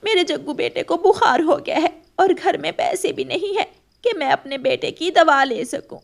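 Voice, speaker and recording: A woman voicing a worried, gentle mother crow in a cartoon story, talking anxiously and pleadingly. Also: very high-pitched, expressive, moderate pace, gravelly, a little hiss or hum, pitched above the performer's own voice, exaggerated voice. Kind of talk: Hindi cartoon story voice acting.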